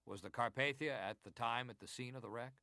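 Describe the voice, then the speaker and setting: A man acting as a senator at a historical inquiry, speaking in a middle-pitched voice with a dry, close sound. His questioning is formal, deliberate and probing, as in a dramatized hearing. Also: sharp tone, no echo, lightly acted. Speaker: actor reading hearing testimony.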